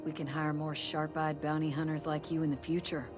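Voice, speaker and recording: A woman playing a blunt, gruff commandant. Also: sits low, little pitch movement, brisk, music in the background, close to an everyday voice. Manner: blunt, folksy. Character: gruff female commandant, vengeful bounty giver.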